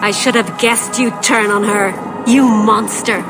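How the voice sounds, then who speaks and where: A woman's voice: mid-range voice, steady tone, measured pace, music in the background, lightly acted. A woman playing a hostile elven clanswoman in a fantasy game, scornful and accusing. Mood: bitter, accusing. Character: bitter, hostile female elven clan member. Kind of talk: dramatic video game voice acting. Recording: clear background ambient noise.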